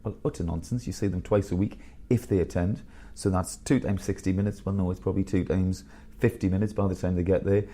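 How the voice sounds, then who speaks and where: A man around fifty, a headmaster telling blunt, animated stories in a candid interview. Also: low voice, fairly quick, hardly any pauses. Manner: blunt, animated storyteller with bursts of laughter.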